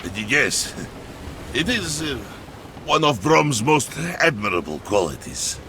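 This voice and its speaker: A big good-natured warrior in a video game. He is gravelly and slow, bashful and courteous, over loud background noise. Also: mid-range voice, big pitch swings, exaggerated voice. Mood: flustered, queasy. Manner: hesitant, flustered, refers to himself in third person. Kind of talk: comedic dramatic video game acting. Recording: loud ambient noise behind.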